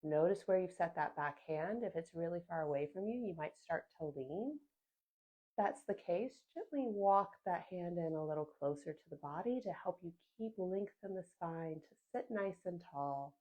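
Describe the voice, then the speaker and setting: A woman yoga instructor calmly cueing a seated class, a little sniffly from a sinus infection. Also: fairly low pitch, poor audio. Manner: gentle, calm cueing with soft pauses.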